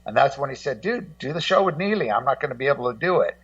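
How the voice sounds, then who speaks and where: A man co-hosting as a guest in casual, swearing chat, rambling excitably and repeating his words. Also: mid-range voice, fast. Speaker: guest co-host. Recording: dull lo-fi, distant-sounding connection.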